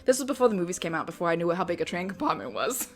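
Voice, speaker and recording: A woman's voice: on the low side, very animated, rapid-fire. Female storyteller, dramatic but self-mocking, narrates amateur fiction and comments drily on it, light music underneath. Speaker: reader and host. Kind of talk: dramatic reading of own fan fiction with wry asides.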